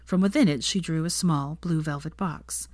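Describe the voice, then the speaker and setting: A woman's voice: deep voice, moderate pace, a little mellow, dry recording, studio quality. A woman reading a story aloud as narrator, in scripted audiobook style. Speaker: fan fiction narrator.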